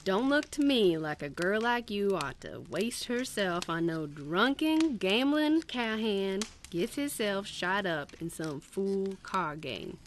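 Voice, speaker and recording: A woman's voice: mid-range voice, lively intonation, slight background noise. A woman playing a kindly, shrewd old granny in a radio drama, with a folksy American country accent and fond, homespun talk. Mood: helpful, sly. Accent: American Southern or Western. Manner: slow, folksy, endearments like child and darling.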